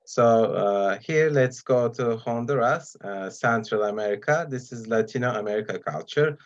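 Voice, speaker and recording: A man whose first language is Turkish gives an online talk as guest speaker, pausing often with fillers between phrases. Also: mid-range voice, lo-fi sound. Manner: hesitant, many pauses and fillers.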